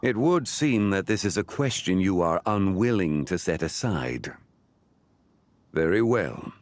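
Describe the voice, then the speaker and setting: A man playing a calm, learned ancient sage in a fantasy video game, speaking formally and deliberately in measured phrases. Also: no echo. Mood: grave, deliberate. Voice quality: deep, measured. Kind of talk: scripted video game dialogue.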